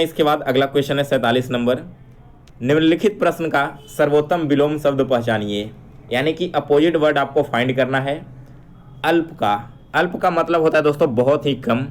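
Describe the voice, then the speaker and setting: A man teaching an exam-prep lesson, addressing learners directly and restating each question before explaining it. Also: a little high.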